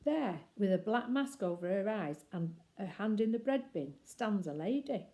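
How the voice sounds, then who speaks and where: An older woman reading a picture book aloud as expressive children's story narration. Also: mid-range voice, moderate pace.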